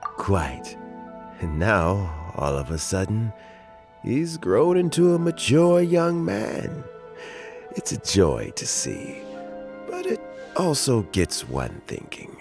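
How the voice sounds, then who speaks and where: An actor playing an elderly, kindly mentor official in a video game, speaking in a gentle, reflective and deliberate way. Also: male voice, lowish voice, huge pitch swings, music in the background, exaggerated voice. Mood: nostalgic, wistful. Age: older. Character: elderly kindly mentor official nearing retirement, fond of fishing. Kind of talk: video game dialogue acting.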